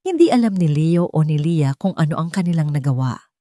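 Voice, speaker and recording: Woman, Filipino accent, slightly low pitch, dramatic scripted storytelling, audiobook narrator, dry sound. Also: animated, brisk, warm tone, no echo.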